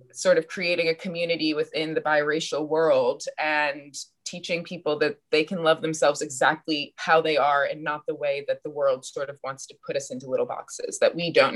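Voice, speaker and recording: A woman in her thirties speaks as a podcast guest, her voice sitting a little low. She talks in a reflective, searching way and laughs lightly at herself. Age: 30s. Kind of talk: casual interview chat.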